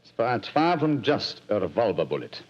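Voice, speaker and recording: Radio drama: commanding British gentleman sleuth, declamatory, highly animated, dull old-time sound. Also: moderate pace. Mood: incisive. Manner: crisp, declamatory, self-assured. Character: Sherlock Holmes, commanding, incisive, theatrical English gentleman detective. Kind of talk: 1940s radio drama acting. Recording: muffled vintage radio recording.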